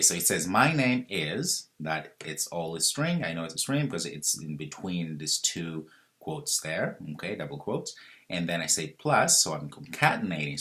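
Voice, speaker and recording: A man's voice: sits low, bright, forceful tone. A man in his thirties teaching programming over a screen recording, explaining step by step, thinking aloud and correcting himself as he goes. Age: 30s. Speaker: programming instructor. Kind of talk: screen-recorded coding tutorial.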